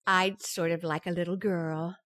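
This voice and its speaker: A woman's voice: on the low side, level tone, normal speed, a bit bright, no echo, lightly acted. An actress playing an anxious, grateful young expectant mother in an old-time radio sitcom, sweet and hesitant.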